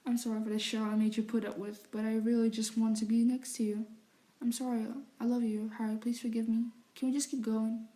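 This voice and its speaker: A woman voicing a sensitive young male wizard, speaking quietly and partly in a whisper. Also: a little high, flat delivery, fairly quick, reverberant, lightly acted. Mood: tearful, apologetic.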